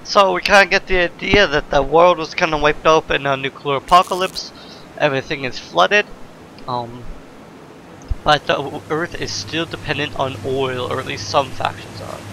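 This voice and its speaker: A man hosts a let's play in an informal, explanatory way. His voice sits a bit high and has a lot of creak, with faint noise in the background. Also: moderate pace, sharp tone, rough recording. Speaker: gaming video host. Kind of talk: casual let's play commentary.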